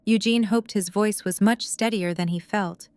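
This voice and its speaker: A woman narrates scripted dramatic fiction in an audio drama. Her voice is smooth and clear at a middle pitch, and the recording is very dry. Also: fairly even intonation, moderate pace, a little mellow, dry recording.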